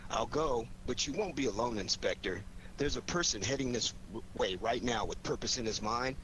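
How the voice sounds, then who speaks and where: An actor playing a cool, needling, self-assured amateur sleuth with an American accent in a 1940s radio drama. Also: male voice, medium pitch, moderate pace, fairly bright tone, lightly acted. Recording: faintly noisy 1940s radio recording.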